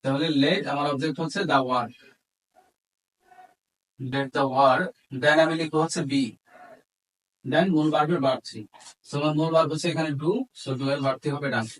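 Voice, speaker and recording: A man's voice: on the high side, steady tone, measured pace, long pauses, poor audio. A man with a Bangladeshi accent giving an instructional grammar lesson as a teacher, methodically dictating examples word by word. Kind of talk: instructional lesson mixing Bengali explanation with English examples.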